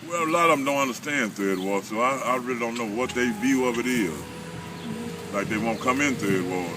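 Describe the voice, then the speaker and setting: A man in his fifties answering interview questions in a halting, thoughtful way. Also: mid-range voice, noisy background. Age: 50s. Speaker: neighborhood resident. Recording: distant voice over music bed.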